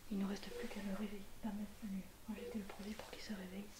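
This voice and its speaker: A young woman speaking softly and breathily, mostly in a whisper, in a gentle, reassuring ASMR medical roleplay as a surgeon. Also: mid-range voice, flat delivery, quick pace. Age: young adult. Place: small indoor room at home. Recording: noisy home recording with clicks.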